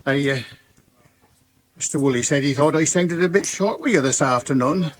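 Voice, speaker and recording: A man's voice: a little high, a little hiss or hum, natural voice. An actor playing an awkward, plain-spoken old countryman with a West Country accent and a hesitant manner in a radio soap. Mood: awkward, troubled. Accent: English West Country rural. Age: older. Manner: hesitant, halting. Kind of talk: 1980s radio soap acting.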